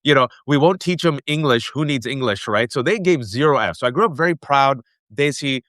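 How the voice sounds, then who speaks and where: Animated storytelling man hosting an interview. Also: mid-range voice, fairly quick, dry recording, clean studio sound. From United States. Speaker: host. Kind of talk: conversational interview.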